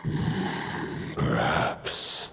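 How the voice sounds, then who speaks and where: A man voicing an ancient dying wolf god, solemn and resigned, with a gravelly, slow and weary delivery in a game. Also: background noise.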